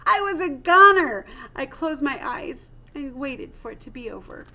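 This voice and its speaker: A woman with a fairly high voice reads a children's story aloud. She has a teacher's clear phrasing and gives the narration theatrical expression. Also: lively intonation, measured pace, pushed and bright.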